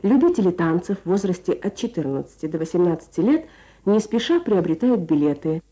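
A woman journalist presenting a scripted Soviet radio programme in a measured, lyrical, formal manner. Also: lowish voice, big pitch swings, mellow. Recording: archival Soviet radio broadcast.

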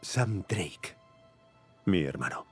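A man's voice: low-pitched, lively intonation, very slow, soft background music, heavily acted. An actor playing a guilty, stubborn adventurer in his thirties in a dubbed game, hesitant and pleading, with a Castilian Spanish accent. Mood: sheepish, explaining. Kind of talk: dubbed video game cinematic acting.

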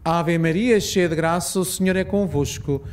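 A man with a European Portuguese accent leading a rosary, reciting solemn prayers in a measured, reverent way. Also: on the high side, a little hiss or hum.

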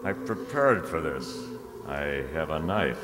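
Murderous husband, male actor: very low, slow, ominous radio horror villain in a noisy, echoing hall. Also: lively intonation, exaggerated voice. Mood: coldly menacing. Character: menacing, murderous husband, cold and deliberate. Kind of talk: old-time radio horror acting. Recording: echoey noisy hall, live stage performance.

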